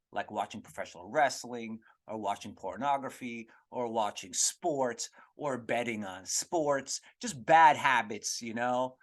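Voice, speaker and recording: A man hosting a podcast, giving casual comedic commentary in a rambling, halting way with crude slang. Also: medium pitch. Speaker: podcast host.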